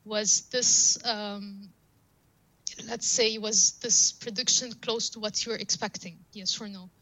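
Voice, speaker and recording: Bright-voiced woman, native Arabic speaker. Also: mid-range voice, steady tone, slow pace, dry recording, rough recording. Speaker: guest, data science professional. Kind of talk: explanatory interview talk.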